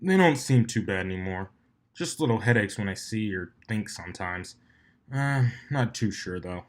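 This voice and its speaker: A man's voice: lowish voice, animated, moderate pace, lightly acted. A man voicing a casual, nonchalant young stallion in a fan fiction reading, with an offhand manner.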